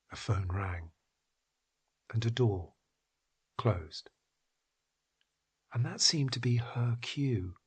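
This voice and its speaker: A man reading scripted literary fiction in a husky, breathy voice that often drops toward a whisper, with measured, dramatic phrasing. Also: mid-range voice. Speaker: narrator. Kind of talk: scripted literary story narration.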